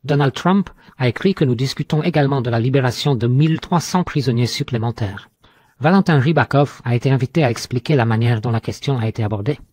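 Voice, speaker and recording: A man reading scripted news in a formal, measured way, as a news reader. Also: medium pitch, darkish tone, breathy edge, no room sound.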